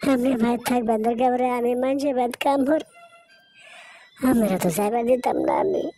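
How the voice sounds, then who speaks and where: Woman acting mellow, plaintive village wife. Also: on the high side, steady tone, a little fast, faint music behind, lightly acted. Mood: pleading. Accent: rural Bangladeshi Bengali. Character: poor, self-sacrificing, worried village wife and mother. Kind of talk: amateur cartoon moral-story acting.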